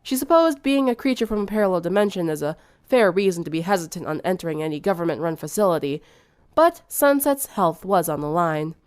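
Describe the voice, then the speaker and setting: A woman's voice: fairly low pitch, animated, fairly quick, clear, resonant tone, no room sound, studio quality. A woman narrating fan fiction in a dramatic storytelling style.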